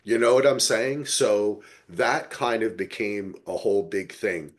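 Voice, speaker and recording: A man's voice: fairly low pitch, measured pace. A man hosting a casual podcast, explaining things in an emphatic, unfiltered way. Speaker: podcast host. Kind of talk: casual chat.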